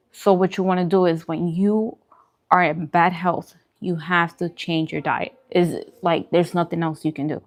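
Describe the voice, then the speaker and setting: A woman talking informally in a casual vlog, giving advice and repeating points for emphasis. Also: fairly low pitch. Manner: conversational, informal explaining. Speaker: food and wellness vlogger. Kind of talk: casual mukbang chat giving diet advice.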